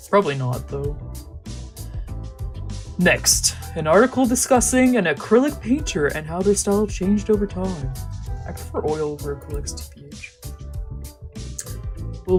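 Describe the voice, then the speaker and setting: Low-pitched young woman, casual streamer. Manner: casual, slangy, sweary. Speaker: streamer reading a visual novel aloud. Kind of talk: casual let's play commentary with read-aloud narration. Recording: music behind the voice.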